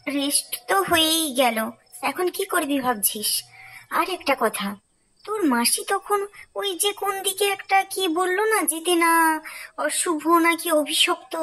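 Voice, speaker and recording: A woman's voice: extremely high pitch, fairly bright tone, voice pushed up. A woman voicing a curious, bossy young friend in a horror cartoon, chatty and emphatic. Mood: eager, curious.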